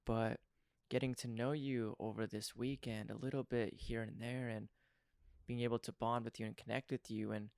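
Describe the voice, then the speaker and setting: A young adult man hosting a podcast interview, talking in an earnest, effusive way with a voice worn hoarse. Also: mid-range voice, level tone, normal speed, a little mellow, no room sound, studio quality. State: partly lost voice. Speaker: podcast host. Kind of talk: casual interview chat.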